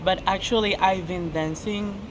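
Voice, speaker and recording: A woman's voice: medium pitch, fairly even intonation, moderate pace. An eighteen-year-old woman hosting a casual Q&A, chatty and giggly, with loose English grammar. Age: teens. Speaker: channel host. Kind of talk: casual Q&A chat. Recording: noisy background hiss.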